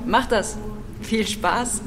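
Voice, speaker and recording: An actress playing an effusive, sociable guest greeting people in a radio play. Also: female voice, fairly high pitch, very slow, music in the background, low-quality recording. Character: effusive female gallery party guest, sociable. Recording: busy crowd behind.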